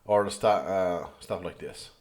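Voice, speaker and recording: A man's voice: low voice, takes it slowly. A man whose first language is Catalan, thinking aloud as he comments on a video, digressing and correcting himself as he goes. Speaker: video host reviewing footage.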